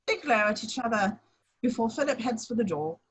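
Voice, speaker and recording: High, slightly husky woman narrating. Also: expressive, brisk. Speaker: action-description reader. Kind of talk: plain scripted narration at a table read.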